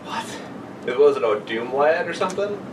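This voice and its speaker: A man's voice: fairly high pitch, animated, normal speed, distant mic. Heckling young man in a noisy, echoey room. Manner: crude, heckling, interrupting. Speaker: podcast co-host. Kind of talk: casual banter among friends.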